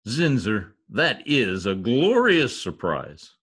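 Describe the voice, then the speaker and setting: A man's voice: medium pitch, sing-song, slow pace, fairly bright tone, breathy edge, exaggerated voice. An older man playing a pompous, exasperated radio star with a theatrical manner in old-time radio comedy. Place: indoor home office. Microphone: computer mic on video call.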